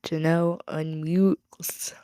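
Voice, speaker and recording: A woman's voice: low-pitched, level tone, slow pace, dark tone, smooth, no room sound, clean studio sound. An American woman hosting her podcast, chatting casually and upbeat with a guest. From United States. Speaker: podcast host. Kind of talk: casual interview chat.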